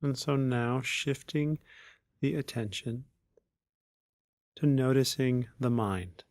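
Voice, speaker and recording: A man leading a guided meditation as a teacher, speaking in unhurried, measured phrases with long pauses. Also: medium pitch, darkish tone, no echo, studio quality.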